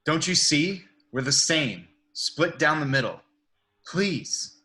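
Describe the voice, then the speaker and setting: A man acting a stern but earnest masked vigilante in a superhero table read, speaking deliberately. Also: fairly high pitch, lively intonation, sharp tone, full character voice. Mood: pleading. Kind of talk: amateur table read of a superhero film script.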